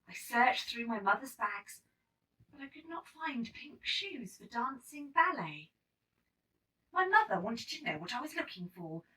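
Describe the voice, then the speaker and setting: A woman reading a children's story aloud in an expressive, emphatic storytelling manner. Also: medium pitch, far from the mic, poor audio. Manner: animated, emphatic storytelling with acted-out dialogue. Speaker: storybook reader.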